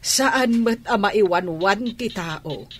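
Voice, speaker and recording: A woman's voice: mid-range voice, animated, slow pace. A woman playing a protective, worried mother in a Filipino radio drama. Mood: protective, firm. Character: protective, worried mother standing up to her husband.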